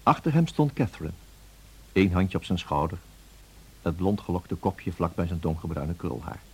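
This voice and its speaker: An actor playing a refined gentleman who recounts his memories in a measured, formal radio play narration. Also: low voice, very animated, gravelly, slight background noise, full character voice. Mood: composed, reflective.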